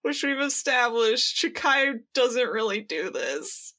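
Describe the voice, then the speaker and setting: A woman with a bright, forceful voice that sits a bit high. She chats casually as a podcast co-host, telling things in slangy words and slipping into quoted lines. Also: slow pace. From United States. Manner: animated retelling, acts out quoted lines, slangy. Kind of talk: casual chat.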